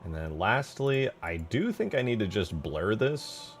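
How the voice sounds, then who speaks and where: A man with a low voice talks through his work as a game modder in a casual stream. He mutters to himself in informal slang, thinking aloud. Music plays clearly behind him. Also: very animated, measured pace. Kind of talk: casual thinking-aloud commentary while working.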